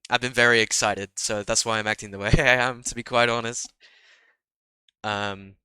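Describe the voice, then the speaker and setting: A young man with a bright, crisp voice talks in a relaxed, chatty way, a little nasal from a cold. He is self-deprecating and laughs at his own jokes. The sound is dry and close, with no room around it. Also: medium pitch, dry recording. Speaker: Minecraft roleplay creator. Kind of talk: casual solo vlog talk.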